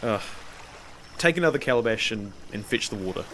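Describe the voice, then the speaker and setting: A man's voice: lowish voice, animated, a little fast, sharp tone, a little hiss or hum, voice pushed down, poor audio. A man voicing a commanding, impatient young man in a told folk tale, with a curt, demanding manner. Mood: weary, flat. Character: commanding young male lover, impatient, weary, quick-tempered.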